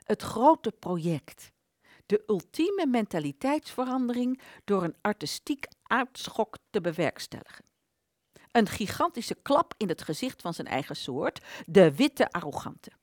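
A woman in a Dutch radio play voicing an aborted idea, a bitter vanished thought, telling its tale dramatically with slow emphases. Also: mid-range voice, a little mellow, dry recording, clean studio sound. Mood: grand, fervent.

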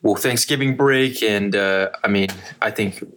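A man's voice: lowish voice, a little slow, fairly bright tone. A young man hosting a casual sports podcast, talking loosely with restarts and fillers. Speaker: host of a college sports podcast.

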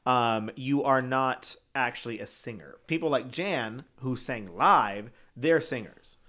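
A man co-hosting a casual review chat, blunt and animated, swearing freely and slipping into mocking quoted voices. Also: mid-range voice, takes it slowly. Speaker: podcast co-host reviewing a TV show.

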